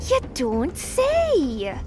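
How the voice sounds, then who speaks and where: Woman as a dry, high-voiced centaur girl. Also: huge pitch swings, extremely slow, over music, exaggerated voice. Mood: unimpressed. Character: assertive, unimpressed young centaur girl. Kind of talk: fantasy audio drama character acting.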